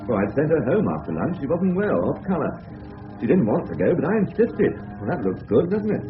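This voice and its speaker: A man's voice: fairly high pitch, big pitch swings, fairly quick. An actor with an English accent playing a bluff, sarcastic, aggrieved middle-aged father in a 1970s British radio drama. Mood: sarcastic, defensive. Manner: bluff, sarcastic, old-fashioned slang. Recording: phone-like old radio broadcast, music behind.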